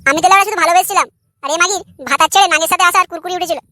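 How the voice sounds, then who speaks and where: A man's voice: extremely high pitch, rapid-fire, pushed and bright, no echo, full character voice. A man voicing a jealous, sneering husband in a comic cartoon, foul-mouthed and sarcastic. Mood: sneering, accusing. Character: jealous, crude, sneering adult son and husband.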